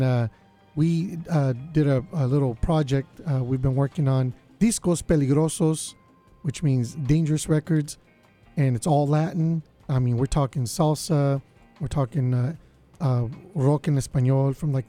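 A man hosting a college radio show, his voice slightly raspy, chatting casually with lots of fillers. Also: a little high, warm tone. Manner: laid-back, lots of fillers. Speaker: radio DJ host.

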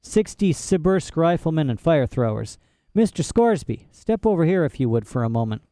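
A man's voice: on the high side, very animated, normal speed, warm tone, dry recording, studio quality, pitched above the performer's own voice. A man voicing an impatient older clan leader in a storybook reading, blunt and rustic in speech. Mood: dismissive.

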